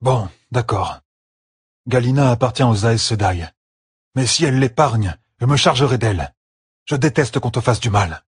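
A man's voice: mid-range voice, expressive, normal speed, slightly rough, no echo, clean studio sound, voice pushed up. A man voicing a protective, hot-tempered young village lord in an audiobook, blunt and grumbling. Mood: grudging.

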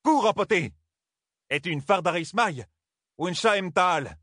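A man voicing a fiery older woman warrior serving as a maid, shrill and commanding. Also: animated, a little slow, fairly bright tone, dry recording, studio quality, heavily acted. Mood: fierce.

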